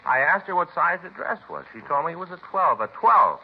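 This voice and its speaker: A muffled old radio recording with some hiss of a folksy American cop. His low, gravelly voice rambles along, plaintive and aggrieved, in 1950s radio drama style. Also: sing-song, a little fast. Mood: defensive, aggrieved. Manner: folksy, long-winded, plaintive. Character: fretful, rambling married male police detective, aggrieved sidekick.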